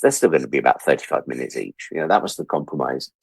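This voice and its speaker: A middle-aged British man chatting casually as an interview guest, telling stories in an animated, self-deprecating, joking way. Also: very deep voice, quick pace, gravelly, breathy edge, dry recording. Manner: animated storyteller who acts out remembered exchanges. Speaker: interview guest, audio drama producer and director. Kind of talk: casual anecdotal interview talk.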